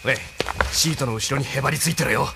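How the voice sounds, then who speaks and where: An actor playing a cocky, hot-tempered young rebel pilot with rough, boastful speech in a Japanese audio drama. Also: male voice, mid-range voice, quick pace, heavy background noise. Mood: confident.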